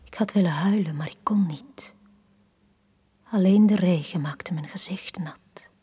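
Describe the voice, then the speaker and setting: An actress playing a forlorn, lovesick woman in a radio drama from the 1980s, speaking in a hushed, breathy, poetic manner. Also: fairly low pitch. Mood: sorrowful. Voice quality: breathy, whispery. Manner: slow, hushed, poetic delivery. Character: Tanja, forlorn, lovesick woman left on the quay.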